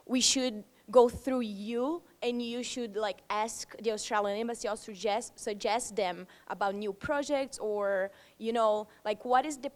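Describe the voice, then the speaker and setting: A woman in the audience asks a question at a conference. Her voice sits a little high, and she repeats herself and fills her speech with fillers. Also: fairly even intonation, measured pace, hardly any pauses, a little mellow. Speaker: audience member asking a question.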